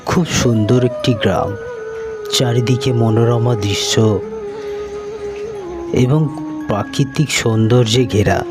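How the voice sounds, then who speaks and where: A man narrates a scripted cartoon tale. His pitch is medium and his delivery very slow. Music plays clearly beneath the narration. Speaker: story narrator.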